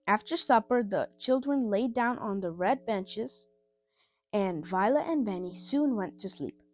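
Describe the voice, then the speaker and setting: Woman reading a children's story, lively pitch. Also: measured pace, soft background music. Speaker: storybook reader. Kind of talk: scripted children's story narration.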